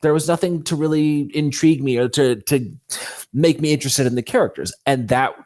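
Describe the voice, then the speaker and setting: A man's voice: a little high, a little fast, darkish tone. A man speaking as an interview guest, telling his own story casually and candidly, acting out quoted thoughts as he explains. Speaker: guest author.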